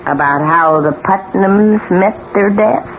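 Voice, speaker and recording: A woman's voice: mid-range voice, slow pace. An actress playing a bitter, taunting old widow in a radio drama, needling and ominous in her delivery. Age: older. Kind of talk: 1970s radio drama acting. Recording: dull, noisy old radio broadcast.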